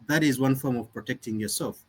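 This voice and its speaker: A man's voice: medium pitch, fairly quick, breathy edge. A young man from Uganda, a nonprofit founder talking earnestly and reflectively in an interview, often restarting his sentences. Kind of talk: conversational interview.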